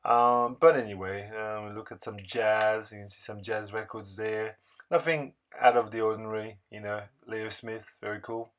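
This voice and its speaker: A man narrating a casual vlog voice-over in a halting, unscripted, rambling way. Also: on the low side, steady tone, a bit bright. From France. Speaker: record-collecting video blogger.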